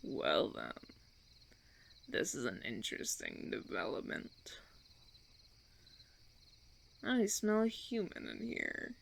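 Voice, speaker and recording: A man playing a suave, condescending vampire colony leader in a roleplay audio drama, theatrical and teasing, with drawn-out phrasing. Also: mid-range voice, huge pitch swings, creaky, fairly bright tone, a little hiss or hum, heavily acted. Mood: intrigued, accusing.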